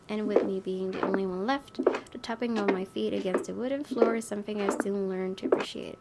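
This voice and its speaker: A woman doing let's play narration, reading a story aloud expressively with casual, offhand asides. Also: creaky. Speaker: gaming video host, reading a visual novel aloud. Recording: faint low rumbling background noise.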